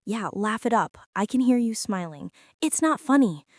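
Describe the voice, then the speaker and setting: A woman's voice: a little high, animated, normal speed, dry recording, lightly acted. A woman acting a sulky, prickly teenage bully girl, up close. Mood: exasperated. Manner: sulky, sarcastic, huffy, with sighs and whispers. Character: prickly, defensive teenage school bully girl hiding her softness. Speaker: ASMR roleplay performer. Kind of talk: intimate girlfriend ASMR roleplay.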